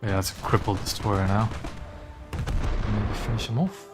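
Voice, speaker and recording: A man's voice: fairly low pitch, little pitch movement, unhurried, over music. A man from Belgium with a mixed Belgian and English accent, chatting casually with fillers while streaming a game. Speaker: gaming streamer. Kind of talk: casual commentary while playing.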